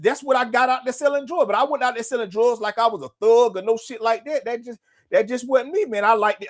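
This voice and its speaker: A middle-aged man from North Carolina speaks in a Southern US accent with a notably high voice. He delivers an unscripted, conversational monologue as host of a personal show. His talk is thick with street slang and swearing, and he keeps checking in with whoever is listening. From North Carolina, United States.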